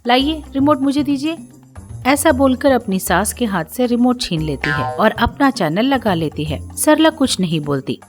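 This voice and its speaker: A woman's voice: medium pitch, sing-song, moderate pace, hardly any pauses. A woman narrating a scripted moral family story as a storyteller. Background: music.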